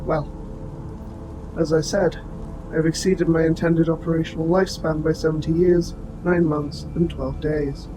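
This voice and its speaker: A man voicing an old military robot, formal, precise and gently protective, in a scripted audio roleplay addressed to the listener. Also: on the high side, little pitch movement, background noise, close to an everyday voice. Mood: calm, informative. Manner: formal, precise, measured phrasing. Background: music.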